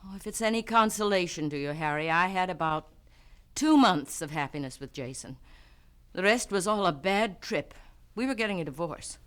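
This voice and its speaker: An actress playing a guilty, composed young widow in a 1970s radio drama, speaking softly and earnestly. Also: female voice, on the low side, lively intonation, moderate pace, clear, resonant tone, close to an everyday voice.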